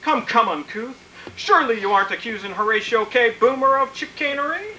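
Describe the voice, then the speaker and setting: A man performs a grandiloquent old-radio con man with a bright, forceful voice. He blusters and dodges with flowery pomp over faint noise. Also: very high-pitched, expressive, fairly quick, heavily acted, poor audio. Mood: blustering, evasive. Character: pompous wily male swindler, flowery and evasive. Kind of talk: 1940s radio comedy acting.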